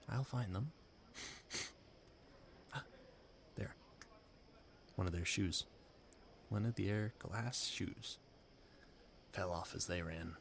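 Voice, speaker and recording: A man playing an earnest, dreamy young gentleman inventor in a romantic audio roleplay, formal and wistful in manner. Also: on the low side, gravelly, slight background noise. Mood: determined. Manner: wistful, formal, flustered stammering when startled.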